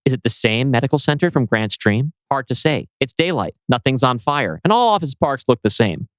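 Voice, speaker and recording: A man's voice: mid-range voice, sing-song, a little fast, no echo. A man narrating a screenplay dramatically as its writer, presenting stage directions and scene changes. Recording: music playing behind voice.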